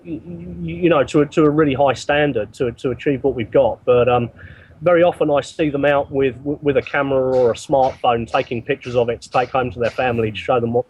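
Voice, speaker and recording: A man being interviewed as a guest, speaking in a halting way and often repeating his words. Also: medium pitch, steady tone, a little mellow, husky, muffled recording. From not from the country he works in. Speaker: interview guest, mission builder. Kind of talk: remote interview answers.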